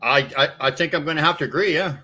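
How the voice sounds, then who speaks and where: Brief: man with a bright, forceful tone, loose rambling guest. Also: fairly high pitch, fast. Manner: loose, rambling, swears casually. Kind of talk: casual live-stream chat.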